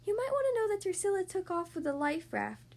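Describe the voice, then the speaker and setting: Young girl kitten for a storybook, played by a woman: very high, polite, excitable, lively. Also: fairly quick, creaky, heavily acted. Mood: hesitant. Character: eager young girl kitten, pirate king's daughter.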